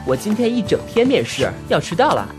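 Casting: earnest young guy job hunting, played by a man, audio drama, very lively intonation, music underneath. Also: sits high, brisk. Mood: exasperated. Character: earnest, flustered young male job seeker. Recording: music behind the voice. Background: background music.

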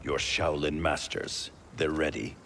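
A deep, gravelly warrior monk. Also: male voice, level tone, very noisy. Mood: wary. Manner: grave, measured. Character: grave male warrior monk, wary and stern. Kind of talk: video game cutscene acting. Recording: music score underneath.

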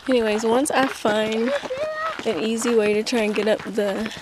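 A woman's voice: a little high, steady tone, normal speed. A young woman chatting casually and bluntly, thinking aloud as she vlogs outdoors on a hillside trail with a handheld camera. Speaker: vlogger. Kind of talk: casual outdoor vlog chat to camera and children. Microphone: handheld camera at arm's length. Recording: loud wind and outdoor handling noise.

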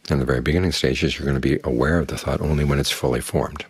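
Very deep, husky-voiced older man giving a talk. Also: fast talker, no echo. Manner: calm, plain-spoken, uses homely images. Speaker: Buddhist monk teacher. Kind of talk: evening dharma talk.

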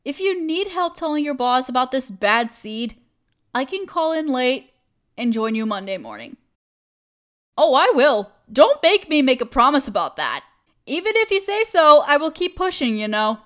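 A woman acts out a protective, caring female best friend with a high voice. She speaks directly and intimately to one listener, as in a comfort roleplay. Her delivery is earnest and reassuring. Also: big pitch swings, moderate pace. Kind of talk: audio roleplay, scripted comforting monologue.

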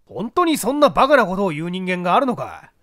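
A man's voice: sits high, big pitch swings, fast talker, sharp tone, no echo, heavily acted. A senior police detective acted by a man, skeptical and blunt, in a mystery audiobook. He scoffs in disbelief with strongly moving intonation. The sound is dry. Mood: incredulous, scoffing. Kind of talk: literary audiobook character dialogue.

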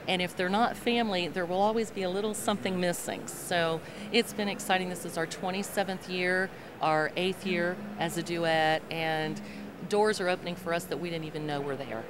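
A middle-aged woman gospel singer with a low voice, talking in an upbeat, chatty way into a handheld mic at a convention booth. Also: moderate pace. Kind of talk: interview answers. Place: convention hall interview booth. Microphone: handheld mic held by host. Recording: noisy convention hall.

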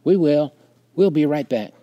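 A man's voice: on the high side, lively intonation, measured pace, no echo, lo-fi sound, voice pushed up. A man voicing a pushy older boy in a story, insistently coaxing a younger child. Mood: reassuring, wheedling.

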